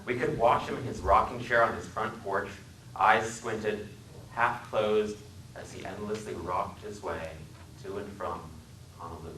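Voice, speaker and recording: A man from Wisconsin giving a dramatic reading in a library, measured and dryly wry in his storytelling. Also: on the low side, steady tone, reverberant, recorded from a distance, noisy background. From Wisconsin, USA. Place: public library room.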